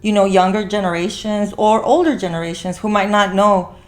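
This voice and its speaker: A woman's voice: mid-range voice, fairly quick. A woman hosting a podcast in casual conversation, rambling as she repeats and rephrases herself. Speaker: podcast host.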